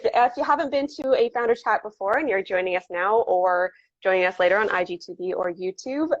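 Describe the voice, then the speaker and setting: A woman hosts a relaxed conversational interview, chatty and upbeat, often restarting her sentences. Her voice sits at a middle pitch. The livestream audio is dull and very dry. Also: dry recording. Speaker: interview host.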